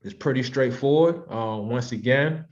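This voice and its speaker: A man's voice: medium pitch, normal speed, darkish tone. An American man in his thirties giving an informal, conversational and reflective presentation to an online audience. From Washington, D.C., United States. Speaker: author and presenter hosting a virtual book club. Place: online video call. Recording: slightly dull, lo-fi online call audio.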